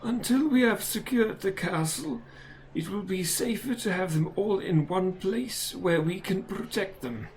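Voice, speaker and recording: A performer voicing an elderly male wizard headmaster in a fan audio drama, speaking formally and deliberately with calm authority. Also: medium pitch, a little hiss or hum, natural voice, rough recording. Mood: grave, commanding.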